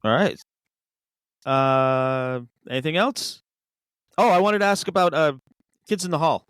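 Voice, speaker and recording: A man's voice: a little high, a little slow, a bit bright, smooth, no echo. Laid-back man in his fifties, dry sound. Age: 50s. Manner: laid-back, swears freely, hesitant fillers. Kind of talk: casual chat.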